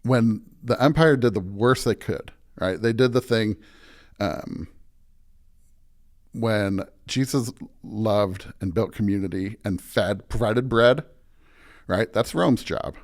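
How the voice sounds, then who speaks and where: A man's voice: fairly low pitch, slow. A man speaking as a pastor and podcast guest, conversational but preaching, emphatic and often checking in with "right?".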